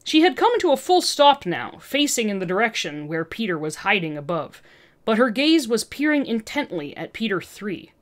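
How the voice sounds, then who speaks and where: A woman narrating fan fiction in an expressive storytelling style. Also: mid-range voice, moderate pace.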